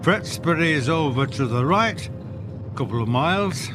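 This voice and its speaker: Man acting a folksy Northern English local, lively pitch. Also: moderate pace, music in the background, close to an everyday voice. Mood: chatty. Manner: dialect-heavy, folksy, drily joking. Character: friendly, wry northern man fetching a hotel guest. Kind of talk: audio drama acting.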